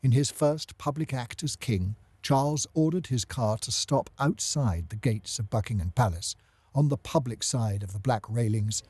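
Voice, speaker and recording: A man's voice: mid-range voice, normal speed, darkish tone, husky. A man giving a scripted report as a news correspondent.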